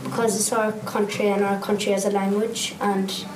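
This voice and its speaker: A woman's voice: mid-range voice, level tone, normal speed. Distant woman, native Irish speaker. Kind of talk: documentary interview. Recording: distant, echoey and noisy.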